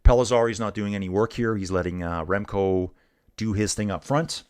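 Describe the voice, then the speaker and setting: A man's voice: on the low side, normal speed, a little mellow, smooth. A middle-aged man casually commenting on a bike race live and chatting with viewers, laid-back and saying "dude" a lot. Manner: laid-back, says "dude" often, reads chat aloud.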